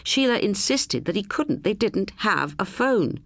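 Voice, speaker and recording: A woman reading a suspense story as an audiobook narrator, in an expressive, measured storytelling manner. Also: mid-range voice, creaky, no room sound.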